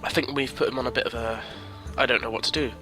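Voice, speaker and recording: A man's voice: medium pitch, steady tone, quick pace, music in the background. A man with a cold, sniffling as he chats casually through his card game, thinking aloud and rambling. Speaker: gaming commentator. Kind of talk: casual let's-play commentary.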